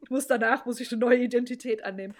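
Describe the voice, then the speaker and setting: Woman in her thirties, co-host, self-mocking storyteller with a slightly high voice. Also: steady tone, racing. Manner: candid storytelling, laughs at herself. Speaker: podcast co-host. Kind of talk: casual chat.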